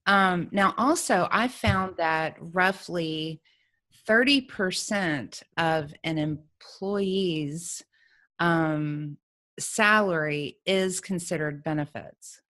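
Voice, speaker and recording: A woman's voice: on the low side, extremely slow, fairly bright tone. A woman hosts a talk show. She speaks in a conversational interviewing style. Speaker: show host.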